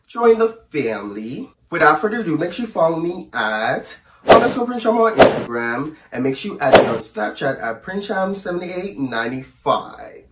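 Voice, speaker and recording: A man with a hoarse voice from the flu, telling a storytime to camera in a chatty, sassy, rambling way and calling his viewers "girl". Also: fairly high pitch, very animated, normal speed, roomy sound, distant mic, heavy background noise. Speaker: storyteller vlogger. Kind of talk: casual storytime chat to camera.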